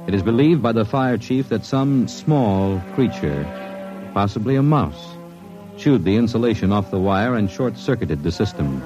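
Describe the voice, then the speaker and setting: A solemn man played by an actor gives formal radio drama narration in a measured, fairly low voice. Music plays clearly behind him on a dull vintage recording. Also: lively intonation, natural voice. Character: sober official-sounding narrator presenting a found record.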